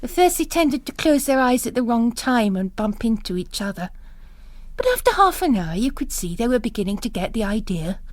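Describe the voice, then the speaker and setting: A woman's voice: on the high side, big pitch swings, normal speed, dry recording. A woman narrating a novel as a scripted literary reading.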